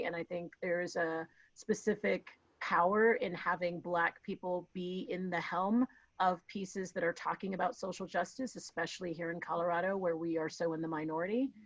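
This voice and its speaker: A woman from Miami who works as a theater director and actor, introducing herself on a panel in an upbeat, expressive way. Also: fairly low pitch, no room sound, lo-fi sound. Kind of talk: casual self-introduction on a panel.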